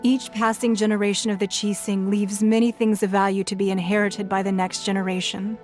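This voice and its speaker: A woman playing a composed, diplomatic city leader in a video game, speaking in formal, measured phrases. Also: mid-range voice, level tone, darkish tone, natural voice. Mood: earnest, formal. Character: composed adult businesswoman leader of a harbor city, diplomatic, resolute. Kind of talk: scripted video game voice acting. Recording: studio voice with game music behind.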